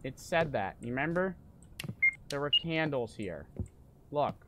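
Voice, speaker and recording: A young man streaming a horror game, panicking, swearing and yelling in fright while reading notes aloud. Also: mid-range voice, animated, a little slow, noisy background. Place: small room at home. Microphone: desk mic on stand.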